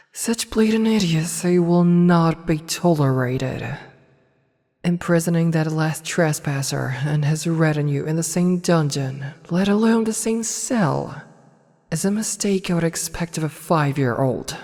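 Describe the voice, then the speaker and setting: Woman playing a low-voiced imperious evil empress. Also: darkish tone. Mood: cold, disdainful. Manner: haughty, formal, measured wording. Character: imperious evil empress, adult woman, softening toward her advisor. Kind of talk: ASMR fantasy audio roleplay, intimate scripted acting. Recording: long reverberant hall, faint noise.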